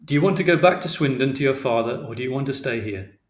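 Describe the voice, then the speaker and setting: A man voicing a calm, matter-of-fact policeman in an audiobook, brisk, official and reasonable. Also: mid-range voice, echoey room, natural voice.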